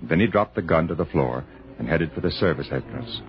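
A man's voice: rumbling low, lively intonation, fairly quick. A man giving dramatic scripted narration for a 1960 radio thriller. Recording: dull vintage radio recording. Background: music.

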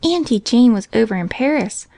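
A little storybook girl, eager and trusting as a woman reader plays her. Her voice is high and sweet, with an earnest, coaxing manner. It is a close, dry recording. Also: animated, normal speed, gravelly, mellow, no echo. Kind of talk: storybook character voice.

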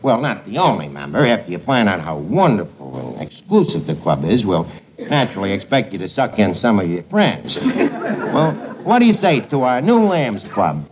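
An actor playing a brash, pretentious tavern manager, slangy and sardonic, mangling grammar in a 1950s radio comedy. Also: male voice, lowish voice, sing-song, moderate pace. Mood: grand salesmanship. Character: brash, wisecracking tavern manager, pretentious malaprop-spouting man. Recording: muffled noisy old radio, studio audience.

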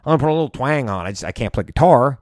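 A man's voice: medium pitch, lively intonation, quick pace, a little mellow, no room sound, clean studio sound. A man hosting his own show, talking casually and bluntly with frequent laughs while losing his voice. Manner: opinionated, rambling, laughs often. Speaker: podcast and radio host. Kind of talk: casual monologue.

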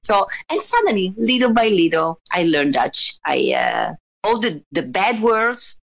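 A woman from Italy with an Italian accent, telling stories as an interview guest in a chatty, animated way with frequent restarts. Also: mid-range voice, measured pace, gravelly. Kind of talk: casual conversational storytelling.

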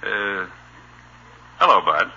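An American man playing an exasperated, sarcastic middle-aged father in a 1950s radio sitcom, with a dry, gruff, wisecracking manner. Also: lowish voice, big pitch swings, slow pace, muffled recording, full character voice. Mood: hesitant, mild. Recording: noisy, band-limited old radio recording.

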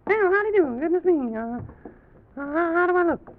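A man voicing an excitable, bumbling, boastful little president who stammers and repeats his words in an old children's radio story. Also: extremely high pitch, brisk, slight background noise, voice pushed up. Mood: flustered, eager. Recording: dull, muffled old radio recording.

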